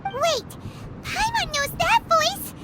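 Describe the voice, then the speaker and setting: A tiny, excitable fairy girl from a video game, with an extremely high, squeaky, childlike voice. She is exclamatory and swoops widely in pitch. There is noticeable noise behind her. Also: takes it slowly, exaggerated voice. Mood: nervous, alarmed. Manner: exclamatory, speaks of herself in third person.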